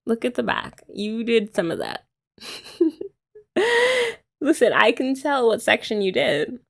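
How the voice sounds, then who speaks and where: A young woman doing a soft, teasing, affectionate ASMR girlfriend roleplay, often laughing, close to the mic. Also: on the high side, sing-song, slow pace, creaky, breathy edge.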